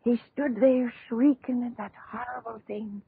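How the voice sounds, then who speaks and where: An aged, sorrowful mother telling a grim tale in a 1930s radio play. Her voice is very husky and breathy, halting and slow. It comes through a thin, narrow old recording. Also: on the high side, steady tone, no echo. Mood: mournful dread. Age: older. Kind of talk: 1930s radio acting, dramatic narration.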